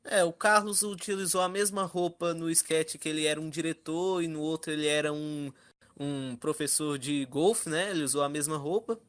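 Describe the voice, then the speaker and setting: A man's voice: fairly high pitch, steady tone, moderate pace, fairly bright tone, clean tone. A Brazilian man in a casual roundtable discussion as one of the panelists.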